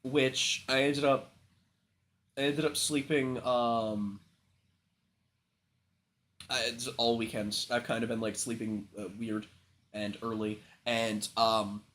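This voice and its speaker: A man's voice: mid-range voice, little pitch movement, takes it slowly, long pauses, a bit bright. A man who is sick and congested, coughing now and then as he rambles through a casual livestream chat, swearing often and filling gaps with ums. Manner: rambling, frequent swearing and filler words. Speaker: livestream host.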